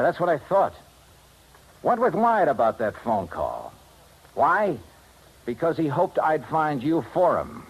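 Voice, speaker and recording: An American actor playing a shrewd, wry, hard-boiled investigator in a 1950s radio detective drama, with a clipped delivery. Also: male voice, mid-range voice, husky, heavily acted, rough recording. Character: shrewd, wry, tough male insurance investigator. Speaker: lead actor and first-person narrator. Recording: vintage 1950s radio recording, music behind.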